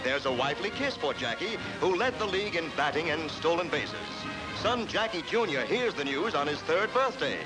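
American male 1940s newsreel announcer, high and animated, jaunty theatrical delivery, vintage soundtrack. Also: moderate pace, rough recording. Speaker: newsreel narrator. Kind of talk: 1940s newsreel commentary, scripted narration. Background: loud orchestral newsreel music.

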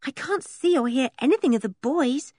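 A woman voicing a timid, anxious young girl in a storybook reading, speaking in a fearful, hushed way. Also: high voice, sing-song, measured pace, no echo, studio quality, voice pushed up, full character voice.

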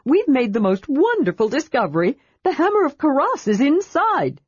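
A woman voicing an excitable, chatty young male kender with high, indignant outbursts in a storybook reading. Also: moderate pace, dry recording, muffled recording. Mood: eager.

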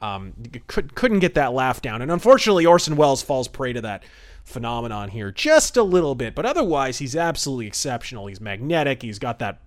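A man's voice: mid-range voice, sing-song, fast talker. A man hosting a podcast, giving enthusiastic, wordy and emphatic review commentary. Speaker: podcast host.

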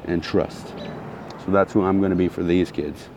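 A low-pitched man rants bluntly and heatedly, hammering his points through repetition. His throat is still recovering from a sore spell. Faint traffic hums behind him outdoors. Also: fairly even intonation, normal speed. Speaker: vlogger. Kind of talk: casual ranting monologue.

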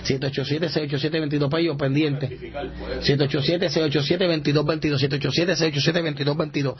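Man, radio preacher, fervent, repetitive, somewhat high voice, dull broadcast sound. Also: level tone, fairly quick, a crowd in the background. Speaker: radio show host and preacher.

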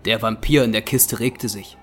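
A man's voice: lowish voice, moderate pace, slight background noise. A man narrating a scripted audio drama in storytelling prose.

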